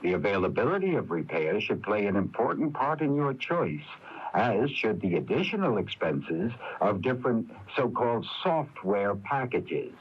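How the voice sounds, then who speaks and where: A man's voice: on the low side, big pitch swings, normal speed, husky. A man reading a scripted public service announcement for radio in an emphatic, advice-giving manner. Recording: dull lo-fi AM radio tape.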